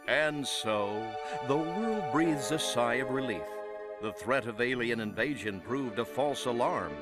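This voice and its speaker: Game narration: male actor as solemn 1950s sci-fi narrator, medium pitch, heavy score behind. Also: expressive, close to an everyday voice. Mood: portentous. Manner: portentous, measured. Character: solemn, moralizing science-fiction narrator. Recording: loud music behind the voice.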